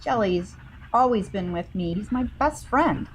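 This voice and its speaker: A woman's voice: medium pitch, expressive, a little slow, a little hiss or hum. Earnest woman playing at the game table. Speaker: player.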